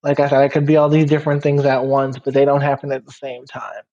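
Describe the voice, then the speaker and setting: A man's voice: medium pitch, quick pace. A young man from Guyana, a podcast guest chatting casually and laughing easily. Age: young adult. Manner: relaxed, laughs easily, talks in loose run-on phrases. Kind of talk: casual interview chat. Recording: somewhat dull, muffled sound.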